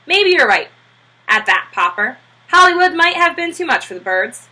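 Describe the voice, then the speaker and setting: Woman putting on a loud, eager movie businessman. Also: very high voice, big pitch swings, moderate pace, pitched above the performer's own voice, heavily acted. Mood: eager, persuasive. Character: brisk, eager male film businessman making a pitch.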